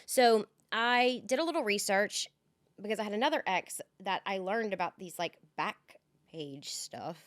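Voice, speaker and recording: A woman's voice: mid-range voice, measured pace, no echo, clean studio sound. A woman co-hosting a podcast, telling a personal story in a candid, rambling way full of fillers. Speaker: podcast co-host. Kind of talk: casual chat.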